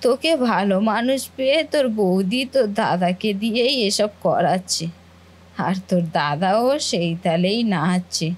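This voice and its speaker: A woman's voice: medium pitch, natural voice. A woman voicing a scheming, nosy older aunt in a cartoon family drama, with an insinuating, gossipy manner. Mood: insinuating, suspicious. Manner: insinuating, gossipy, drawn-out questioning.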